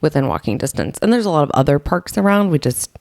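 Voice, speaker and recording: A woman's voice: sits low, very animated, fast talker, vocal fry, darkish tone, no echo, studio quality. A woman with a Chicago accent co-hosting a health podcast, rambling in an easygoing way and laughing often. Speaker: podcast co-host, health coach. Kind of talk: casual podcast chat.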